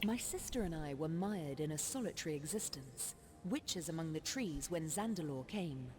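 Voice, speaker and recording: An actress playing a proud, sorrowful forest witch in a fantasy video game, telling her tale in measured, formal speech. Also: low voice, expressive, a little mellow, faint music behind. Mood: sorrowful, reflective. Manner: measured, solemn, formal storytelling. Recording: long reverb on game dialogue.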